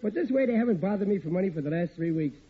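Blustery American everyman husband, highish voice, big swings, vintage radio comedy. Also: animated, racing, husky, narrow, tinny audio. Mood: wry grumbling. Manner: folksy, ungrammatical, grumbling. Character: blustery, bumbling working-class family man at an aircraft plant. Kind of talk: old radio sitcom acting. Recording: thin, noisy vintage radio recording.

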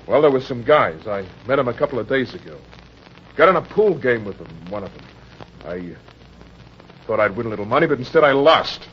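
An actor playing a frightened, down-on-his-luck husband and father in old-time radio crime drama, with an American accent, a husky voice and stammering, pleading delivery. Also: mid-range voice, very animated, brisk, long pauses, a little hiss or hum, close to an everyday voice. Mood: anxious confessing. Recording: dull, lo-fi old radio recording.

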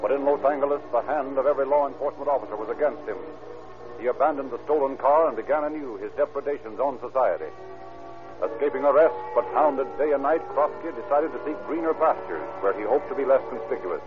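A man's voice: medium pitch, fast talker. A man delivering dramatic, declamatory scripted narration in the formal style of 1930s radio crime shows. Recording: tinny old radio recording, music behind.

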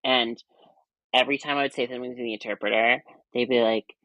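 A young man in his twenties with a high voice, a nurse chatting casually as a podcast guest, rambling with many fillers and restarts. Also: fairly even intonation, fast, bright, forceful tone, no echo, poor audio. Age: 20s. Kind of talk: casual chat.

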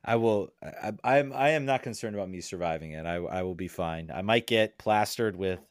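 A man guesting on a podcast, his voice sitting a little low. He talks in a loose, halting way and doubles back on words as he works out his point. The sound is dry, with no room around it. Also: no room sound. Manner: hesitant, repeats words while thinking aloud. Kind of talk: casual chat.

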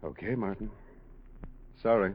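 An actor playing a wry, needling police lieutenant in a 1940s radio crime drama, husky, tough and colloquial. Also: male voice, on the low side, unhurried, heavy background noise, close to an everyday voice. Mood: warning. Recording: dull old radio recording.

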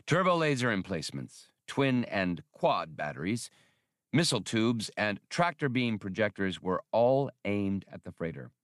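A man reads scripted fiction in a low voice. His delivery moves widely in pitch from phrase to phrase. The sound is dry, with very little room in it. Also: big pitch swings, a little slow, dry recording. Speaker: audiobook reader.

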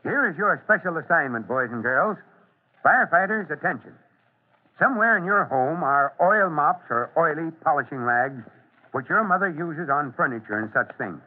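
An authoritative fire chief with a fairly high voice, instructing young listeners formally and deliberately. It has the thin, narrow sound of old radio. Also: male voice, animated. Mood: instructive, earnest. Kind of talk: old-time radio public safety address. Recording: thin, band-limited old radio recording.

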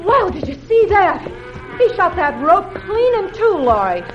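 A woman's voice: very high voice, sing-song, measured pace, highs cut off, very noisy, full character voice. A woman playing a spirited American cowgirl in an old-time radio western. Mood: excited.

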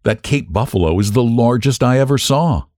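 A man's voice: mid-range voice, expressive, moderate pace, a little mellow, dry recording, studio quality, close to an everyday voice. A man voicing a polite, wary hunter who is a dinner guest, asking brief questions.

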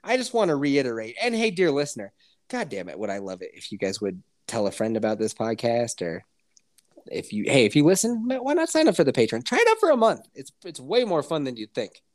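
Woman podcast host, low and animated, profane ranter, stuffy. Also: a little fast. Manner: profane, ranting, laughs loudly. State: stuffy from a cold. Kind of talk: casual podcast chat.

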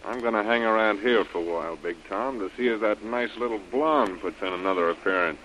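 An actor playing a sly, bossy, scheming villain in a 1930s radio adventure serial. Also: male voice, lowish voice, a little fast, fairly bright tone, a little hiss or hum, lightly acted. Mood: bossy, calculating. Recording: muffled old radio recording.

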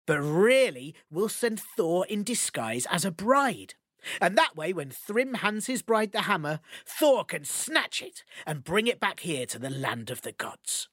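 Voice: medium pitch, sing-song, unhurried, fairly bright tone, no echo, studio quality. A storyteller doing Loki, a sly trickster god. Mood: scheming. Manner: scheming, persuasive, amused.

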